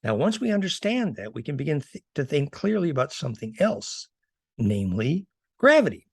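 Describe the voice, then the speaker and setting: Animated, emphatic man lecturing. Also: a little high, normal speed, creaky, slightly rough, no room sound. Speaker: presenter of fringe physics theories. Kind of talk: informal slide lecture.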